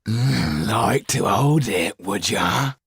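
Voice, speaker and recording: A hulking fish-eyed street thug, as a storyteller puts him on, drawling slowly with menace. His voice sits raised and loud against the narration. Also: on the low side, lively intonation, breathy edge, dry recording, studio quality, pitched above the performer's own voice, heavily acted. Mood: menacing.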